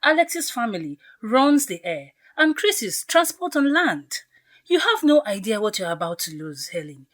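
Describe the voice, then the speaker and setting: Casting: woman as scolding, materialistic young female friend for radio drama; high, lively, swooping delivery. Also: moderate pace. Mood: urgent, insistent. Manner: emphatic, scolding.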